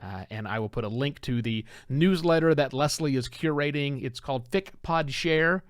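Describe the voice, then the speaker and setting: A man's voice: on the high side, moderate pace, no echo, clean studio sound. A middle-aged man hosting a solo podcast, talking conversationally to live viewers with emphatic phrasing. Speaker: podcast host and producer.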